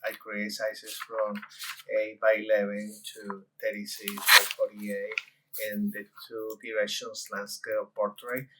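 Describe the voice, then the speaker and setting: A man in his forties from Colombia, a designer presenting a conference session and walking through software with frequent hesitations. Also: lowish voice, monotone, measured pace, background noise.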